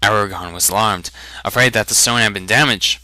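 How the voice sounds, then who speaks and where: Deliberate man narrating, very bright crisp voice. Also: on the low side, no room sound, rough recording. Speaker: amateur audiobook reader. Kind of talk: dramatic scripted fantasy narration.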